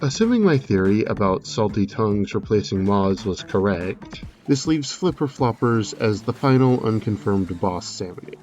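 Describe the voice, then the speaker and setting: A man giving a measured, explanatory voice-over commentary, semi-scripted, as a video creator analysing a game trailer. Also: lowish voice, darkish tone, muffled recording.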